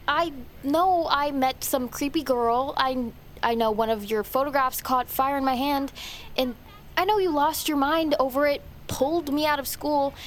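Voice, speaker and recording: An actress playing a fed-up, defiant teenage daughter in an audio drama, sarcastic and confrontational. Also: female voice, high-pitched, fairly even intonation, moderate pace, a little hiss or hum, lightly acted. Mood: angry, pleading. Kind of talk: audio fiction acting.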